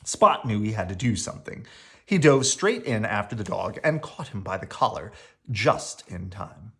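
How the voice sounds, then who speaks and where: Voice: mid-range voice, big pitch swings, moderate pace, a bit bright. A storyteller reading a children's picture book aloud in a dramatic, playful manner. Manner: dramatic, with playful sound words. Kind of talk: animated read-aloud storytelling.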